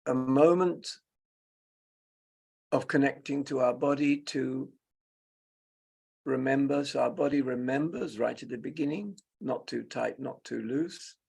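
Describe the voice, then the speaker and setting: Male meditation teacher, very creaky and husky voice, leisurely delivery in short phrases with generous pauses. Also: medium pitch, a little mellow. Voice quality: gravelly, husky. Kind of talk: guided meditation, spoken slowly and softly.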